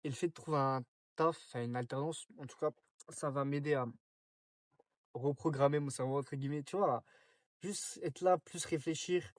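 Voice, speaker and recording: A man's voice: on the high side, steady tone, normal speed, no echo, studio quality. A nineteen-year-old man from France talking casually to camera in a rambling, slangy monologue full of fillers and restarts. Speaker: vlogger.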